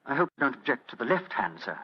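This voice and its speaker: An actor playing a coldly polite English butler who turns smug, murderous thief, in a radio mystery. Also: male voice, medium pitch, big pitch swings, quick pace, husky. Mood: cautious, courteous. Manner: formal, elaborately polite menace. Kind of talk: 1940s radio mystery acting. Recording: dull, muffled old radio recording.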